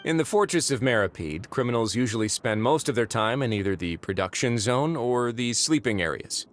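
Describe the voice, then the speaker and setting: An actor playing a composed male prison warden in a game, with a measured, dry and authoritative way of talking. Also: mid-range voice, animated, slight background noise, lightly acted. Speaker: prison administrator. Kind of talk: game voice acting. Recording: studio game audio with faint music.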